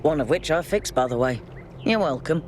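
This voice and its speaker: Grumpy low-voiced robot mechanic. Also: female voice, lively intonation, normal speed, a little mellow, a little hiss or hum. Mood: wry, longing. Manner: blunt, wry. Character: grumpy old robot mechanic woman, restless, hungry for life.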